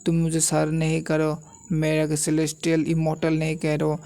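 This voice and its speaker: A man's voice: a little high, completely flat delivery, normal speed, gravelly, a little mellow, a little hiss or hum. A man voicing a proud, scolding old martial arts master spirit with a boastful, condescending manner in a story recap. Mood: indignant, boastful.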